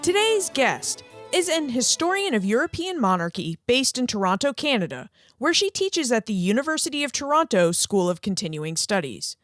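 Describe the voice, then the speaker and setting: A woman's voice: a little high, dry recording, clean studio sound. A woman hosting a history podcast, reading her intro and questions in an upbeat, scripted way. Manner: lively, upbeat, reads from script. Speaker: podcast host. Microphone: re-recorded studio voice-over.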